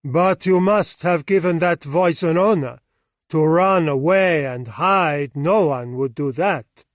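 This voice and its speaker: A man doing a sharp, commanding French detective. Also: on the high side, slow pace, no room sound, clean studio sound. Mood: insistent. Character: stern sharp-tongued male French detective.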